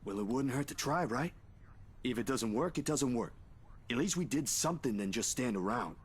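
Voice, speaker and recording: An actor playing a calm, sensible young man in a visual novel game, gentle and easygoing. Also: mid-range voice, normal speed, breathy edge. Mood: reassuring.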